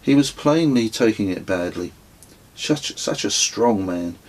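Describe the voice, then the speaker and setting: A man's voice: fairly low pitch, lively intonation, unhurried, a little mellow, rough recording. A middle-aged man reading his own travel memoir as an audiobook. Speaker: author reading own book.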